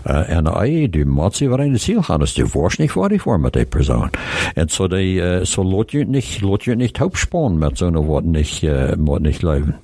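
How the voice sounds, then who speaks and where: Deep, lively Mennonite man in his forties. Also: normal speed, dry recording. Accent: Low German Mennonite. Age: 40s. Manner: hesitant, searching for words. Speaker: discussion participant. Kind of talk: casual Bible discussion.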